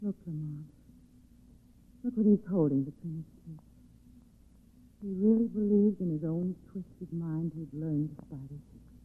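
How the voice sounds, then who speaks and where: A woman's voice: low-pitched, unhurried, pauses often, breathy edge, narrow, tinny audio. An actress playing a frightened young woman, the hero's companion, in a 1940s radio drama. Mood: shaken. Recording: thin, band-limited 1940s radio recording.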